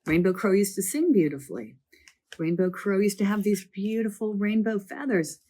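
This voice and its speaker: A croaky woman with a summer cold, a children's librarian presenting a library program and telling the stories from each book in a chatty, lively way. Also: medium pitch, normal speed. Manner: chatty, lively storytelling delivery.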